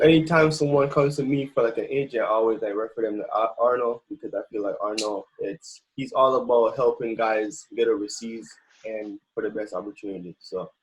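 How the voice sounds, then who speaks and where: A man's voice: medium pitch, darkish tone, rough recording. Man hosting with hesitant fillers. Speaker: basketball player and trainer, host. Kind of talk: casual video intro.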